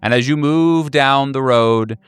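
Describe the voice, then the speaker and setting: Smooth-voiced, halting male game master. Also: mid-range voice, no room sound. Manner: deliberate, halting pauses. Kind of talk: improvised horror roleplay narration.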